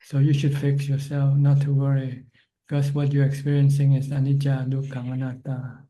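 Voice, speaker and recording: A man from Thailand, a Buddhist monk, giving calm, plain spiritual advice over a video call. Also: medium pitch, normal speed, poor audio. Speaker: Buddhist monk answering questions.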